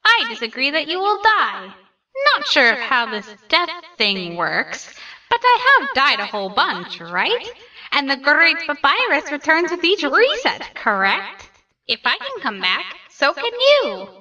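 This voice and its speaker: A woman's voice: high-pitched, very animated, a little slow, sharp tone, far from the mic, full character voice, low-quality recording. A woman voicing a cheerful, naive skeleton brother in a comic dub, grandiose, earnest and theatrical. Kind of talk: comic dub character acting.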